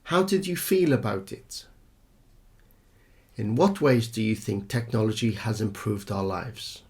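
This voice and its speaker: A man acting as an exam tutor, giving scripted instructions in a clear, instructional manner. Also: on the low side, unhurried, darkish tone.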